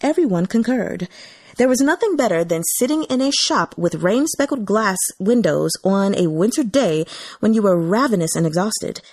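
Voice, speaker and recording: A woman's voice: mid-range voice, expressive, moderate pace, darkish tone, no room sound, clean studio sound. A woman reading scripted fiction as an audiobook narrator.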